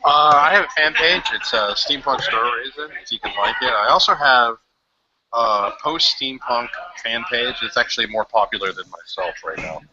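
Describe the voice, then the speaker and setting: Deep-voiced, low-key man. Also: expressive, normal speed, background noise. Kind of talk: casual web show chat. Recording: muffled lo-fi recording.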